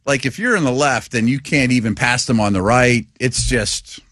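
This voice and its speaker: A man's voice: mid-range voice, moderate pace, fairly bright tone, dry recording. A middle-aged American man co-hosting a sports radio show, chatting casually and telling anecdotes.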